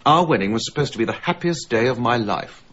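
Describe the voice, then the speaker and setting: A man's voice: mid-range voice, animated, a little fast, fairly bright tone, muffled recording, a little hiss or hum, close to an everyday voice. An actor playing a stiff, snobbish middle-aged British man in a radio drama, dry, pedantic and slightly hesitant in speech. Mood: wounded, stern.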